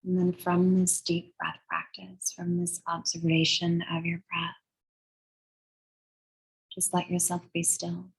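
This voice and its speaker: A woman leading a guided meditation in a soothing, measured, breathy way, leaving long pauses between phrases. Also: lowish voice, monotone, low-quality recording. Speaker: guided meditation and yoga teacher.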